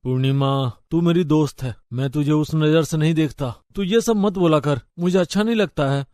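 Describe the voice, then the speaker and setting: A man's voice: a little high, normal speed, darkish tone, dry recording, studio quality, lightly acted. A man voicing a rich, polite young college man in a story, earnest and plain-spoken. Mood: firm.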